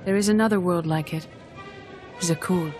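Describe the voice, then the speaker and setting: An actress playing a calm, wise mystic mentor in a video game, speaking in a measured, earnest way. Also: female voice, lowish voice, natural voice. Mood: solemn, guiding. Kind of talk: dramatic video game acting. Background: background music.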